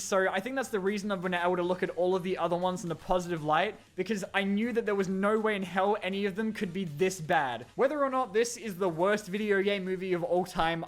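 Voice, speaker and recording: A man narrating a scripted film review in a wry, exasperated manner. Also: high voice. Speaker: video essayist reviewing films. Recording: music playing under the voice.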